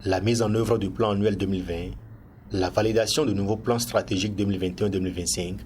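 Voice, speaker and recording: News reporter, male, Beninese accent, lowish register, scripted report delivery over background noise. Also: fairly even intonation, quick pace, darkish tone.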